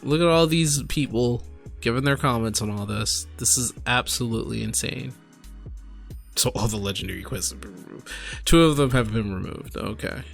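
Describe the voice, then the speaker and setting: A man's voice: mid-range voice, normal speed, gravelly, soft background music. A tipsy man giving casual, rambling reaction commentary as a streamer, exclaiming and addressing viewers directly. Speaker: streamer and gaming video host.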